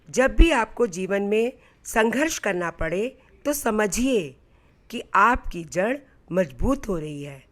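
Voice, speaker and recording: Patient male God, voiced by a woman, storybook tale, slow measured delivery, reassuring, instructive, medium pitch. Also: a bit bright. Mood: encouraging. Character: God, patient encouraging male deity giving counsel. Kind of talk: storybook narration.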